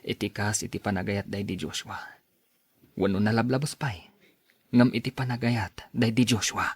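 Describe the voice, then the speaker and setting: A man's voice: on the low side, fairly even intonation, moderate pace, breathy edge, no echo. An actor playing a kind, patient young man advising the woman he loves, in a radio drama. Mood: pleading. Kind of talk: radio drama acting in dialogue.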